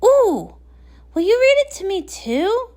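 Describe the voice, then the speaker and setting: Woman acting out a cartoon party pony, very high voice, swooping intonation, playful, chatty, scatterbrained. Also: big pitch swings, slow, a little mellow, heavily acted. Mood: eager. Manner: bouncy, chatty. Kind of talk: acted comic read-along.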